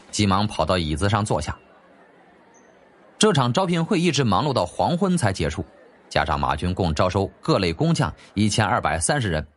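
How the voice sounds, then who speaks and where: A man narrating an audiobook as dramatic, scripted storytelling. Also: lowish voice.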